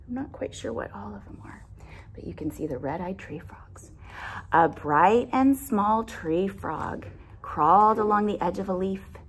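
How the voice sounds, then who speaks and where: A woman in her thirties reading a picture book aloud as a teacher, deliberate and expressive, explaining simply. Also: mid-range voice, gravelly, a little hiss or hum, rough recording. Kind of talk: children's read-aloud with simple questions. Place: classroom, indoors.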